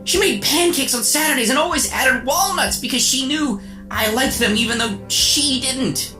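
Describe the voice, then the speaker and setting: A woman's voice: sits high, moderate pace, natural voice. A woman playing an earnest, determined boy robot superhero in a melodramatic radio serial. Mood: anguished pleading. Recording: roomy echo, music underneath.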